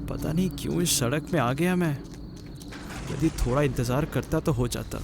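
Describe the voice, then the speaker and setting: Man acting an uneasy, high-ish young traveller. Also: moderate pace, gravelly, noisy background. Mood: worried grumbling. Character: uneasy young traveller stranded as a storm nears. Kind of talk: Hindi cartoon story acting.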